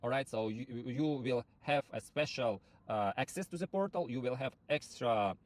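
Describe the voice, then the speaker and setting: A man whose first language is not English, giving a halting sales pitch for a rental platform, full of fillers and restarts. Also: expressive, no room sound. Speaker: property platform founder recruiting sub-agents. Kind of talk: screen-share walkthrough pitch.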